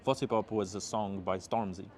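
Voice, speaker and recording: A man's voice: on the low side, fairly even intonation, normal speed, a little mellow, slight background noise. A rapper from Morocco talking in a casual interview, explaining his music at length in an earnest way and often agreeing with "exactly". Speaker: rapper guest.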